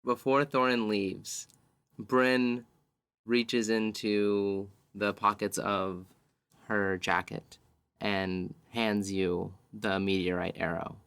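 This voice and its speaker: A man's voice: lowish voice, flat delivery, slow pace, a bit bright, clear, resonant tone, no echo. A man playing in a tabletop roleplaying podcast, improvising narration of his character's actions. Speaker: player in an actual-play podcast.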